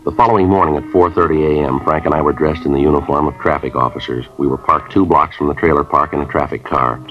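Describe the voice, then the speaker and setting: An actor in 1950s radio drama playing a terse police sergeant who narrates and talks in a flat, clipped, matter-of-fact way. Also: male voice, a bass voice, quick pace. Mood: narrating. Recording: lo-fi old radio broadcast with music.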